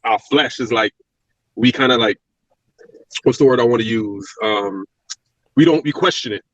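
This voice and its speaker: A man's voice: mid-range voice, normal speed, fairly bright tone, no room sound, lo-fi sound. A man with a Brooklyn accent, a former wrestler being interviewed, talking reflectively with many restarts and fillers. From Brooklyn, New York. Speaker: guest, former pro wrestler. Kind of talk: casual interview talk.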